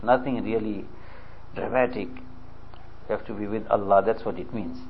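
A man's voice: on the low side, fairly even intonation, a little hiss or hum. Man preaching in a muffled recording. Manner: unhurried, repeats phrases, explains terms as he goes. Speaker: religious speaker. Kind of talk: sermon storytelling.

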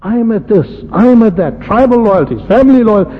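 Impassioned preacher in a lo-fi broadcast. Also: male voice, animated, fairly quick. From South Africa. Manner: impassioned, rhetorical questions, swinging between loud peaks and soft low asides. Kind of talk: sermon. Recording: lo-fi phone-like radio broadcast with faint hiss and long hall reverb.

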